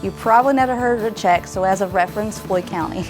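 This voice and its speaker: A woman's voice: medium pitch, fast, rough recording. A woman from Virginia, a scholarship recipient, introducing herself in a friendly, explanatory way. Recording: music playing underneath.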